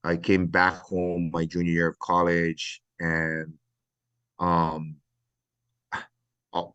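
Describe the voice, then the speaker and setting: A middle-aged man from Hawaii, a retired fire battalion chief, speaking earnestly and reflectively with many pauses in a podcast interview. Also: deep voice, monotone, a bit bright, clean tone, dry recording, lo-fi sound.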